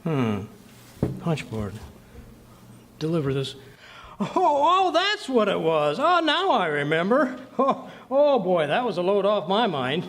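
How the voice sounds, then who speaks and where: A man's voice: high voice, huge pitch swings, slow pace, fairly bright tone, a little hiss or hum, full character voice. A man with an American accent playing a blustery, scheming middle-aged husband in an old-time radio comedy. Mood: evasive. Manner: folksy, wisecracking, flustered. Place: clubhouse, live performance.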